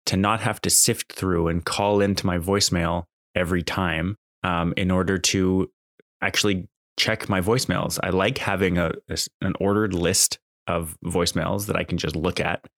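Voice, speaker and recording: Low-voiced man, casual monologue. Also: steady tone, normal speed, a bit bright, no echo, clean studio sound. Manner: conversational, exasperated complaining. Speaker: podcast host.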